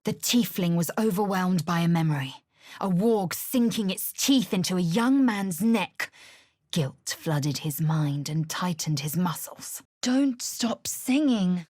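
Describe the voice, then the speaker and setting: A woman voicing a fierce, proud githyanki warrior in a video game, imperious and clipped in manner, with a husky, breathy voice. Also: on the low side, expressive, slow, a little mellow, no room sound, clean studio sound. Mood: commanding.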